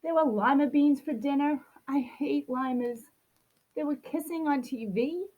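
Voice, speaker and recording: A woman's voice: high-pitched, measured pace, phone-quality sound. A woman reading a children's picture book aloud, expressively acting out a grumpy child's complaints. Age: adult. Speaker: storybook reader.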